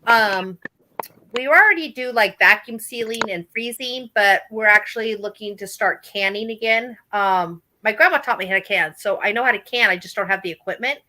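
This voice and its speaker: A woman from Oregon with a high voice, chatting casually in a group call, blunt, animated and swearing freely. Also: normal speed, low-quality recording. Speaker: guest in a group chat.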